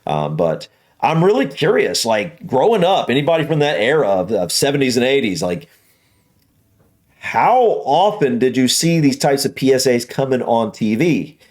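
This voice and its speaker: An American man hosts a reaction video in an easygoing conversational style. His pitch sits in the middle range for a man. He rambles through his opinions, circling back and repeating himself as he goes. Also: sing-song, normal speed, darkish tone. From United States. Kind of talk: casual conversational commentary.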